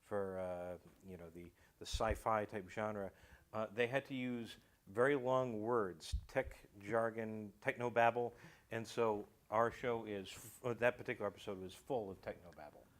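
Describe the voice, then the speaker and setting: A man with a fairly low voice talks casually as a guest who makes a radio show. He speaks haltingly, often restarting mid-phrase as he explains. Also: fairly even intonation. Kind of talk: casual talk-show conversation.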